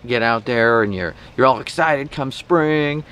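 A man in his thirties hosting a vlog, chatting informally to camera in a casual, animated explainer style outdoors. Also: medium pitch, unhurried, clean tone. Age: 30s. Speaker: boating vlog host. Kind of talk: casual sponsored talk to camera. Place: outdoors in a boat cockpit at a marina. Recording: faint outdoor marina background noise.

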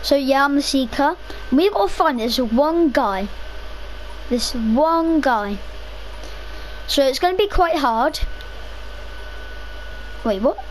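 Young woman rambling thru gameplay, bit of a cough in her voice, high and unhurried, faint hum behind. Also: animated, low-quality recording. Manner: chatty, rambling, self-talking. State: slightly rough voice from a cough. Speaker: gaming video creator. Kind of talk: casual gameplay commentary.

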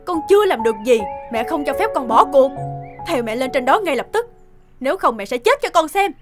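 A woman's voice: sits high, sing-song, brisk, music in the background. A woman voicing an overprotective middle-aged mother in a cartoon, anxious, insistent and domineering. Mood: shocked, scolding. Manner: fretful, insistent.

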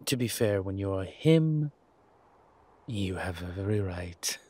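A man playing a haughty, wry vampire gentleman in a roleplay audio drama, speaking formally and with refinement. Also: sits low, animated, full character voice. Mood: wry, cautious. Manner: refined, formal, wry phrasing with hesitant pauses.

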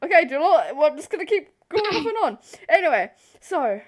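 A young woman telling a story casually to camera in an animated, rambling, giggly way, easily sidetracked. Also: high voice, quick pace. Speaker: video host. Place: bedroom.

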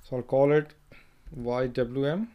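A man talking through a screen-recorded software tutorial, thinking aloud as he works, with fillers and restarts. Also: mid-range voice, fairly even intonation, a little slow. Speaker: software trainer.

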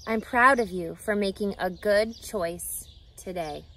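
A woman's voice: medium pitch, a little slow, pushed and bright, pitched below the performer's own voice. A woman voicing a kind, approving farm mother in a children's storybook read outdoors. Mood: proud, gentle. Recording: outdoor ambient background noise.

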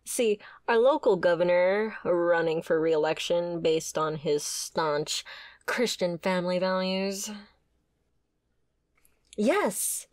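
A woman's voice: mid-range voice, a little mellow, no room sound, clean studio sound, close to an everyday voice. A woman playing a wry, flirtatious female mafia boss with a sardonic, conversational manner in a scripted ASMR roleplay. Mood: exasperated.

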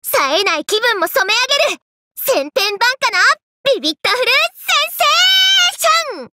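Young gyaru game heroine, very high voice, wildly animated, playful, exuberant, slangy. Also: female voice, moderate pace, heavy background noise, exaggerated voice. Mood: excited, playful. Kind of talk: anime mobile game battle voice lines.

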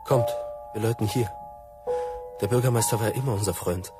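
A man's voice: on the low side, brisk, music in the background, lightly acted. A man acting a weary, dignified father of an outcast family in a German radio play, subdued and pleading. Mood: resigned. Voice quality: rough, husky.

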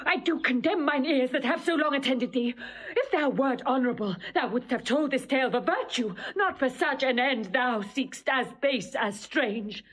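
A dignified young princess in classical audio drama. Her voice is high, and her delivery is formal and full of feeling. She sounds proud, loyal and theatrical. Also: expressive, moderate pace, a bit bright, low-quality recording. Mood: indignant.

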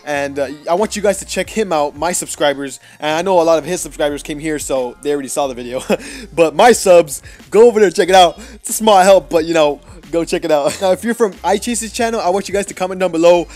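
A nineteen-year-old man from Mexico doing casual, rambling voice-over commentary on his mobile gameplay, excitable and full of jokes. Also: a little high, a little fast, soft background music. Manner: excitable, joking, rambling with lots of fillers. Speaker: mobile gaming YouTuber. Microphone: voice-over recorded apart from the gameplay.

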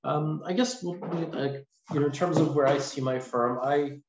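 A man in his forties speaking casually and haltingly as an interview guest over a video call. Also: fairly high pitch. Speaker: podcast guest, lawyer. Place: small indoor room, video call. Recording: echoey, muffled video call with noise.

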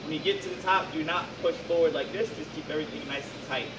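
A man's voice: fairly high pitch, fast talker. A man coaching a group through lifts in a large indoor gym, giving firm step-by-step cues. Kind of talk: instructing a workout. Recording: echoey gym from far off, loud air-conditioning hum.

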